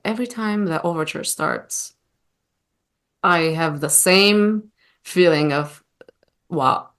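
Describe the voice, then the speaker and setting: A woman from Armenia, speaking English as a guest in a casual interview and often pausing to search for words. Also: lowish voice. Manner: warm, searching for words with many fillers. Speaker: guest opera singer.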